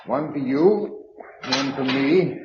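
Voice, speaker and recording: An actor playing a resolute, practical British survivor of a catastrophe in a radio drama, with a brisk, unsentimental, commanding manner. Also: male voice, mid-range voice, natural voice. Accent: British English. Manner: brisk, matter-of-fact, commanding. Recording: dull lo-fi radio recording, faint noise.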